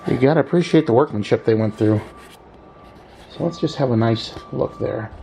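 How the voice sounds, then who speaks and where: A man presenting an unscripted hands-on repair walkthrough, thinking aloud unhurriedly with trailing pauses. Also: medium pitch, gravelly, mellow. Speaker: hobbyist video presenter. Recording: echoey room with faint background noise.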